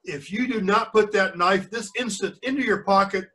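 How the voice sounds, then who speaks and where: A man voicing a calm, unafraid country doctor and magistrate from a storybook, speaking firmly and formally. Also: high-pitched. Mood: stern warning. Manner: firm, formal, measured.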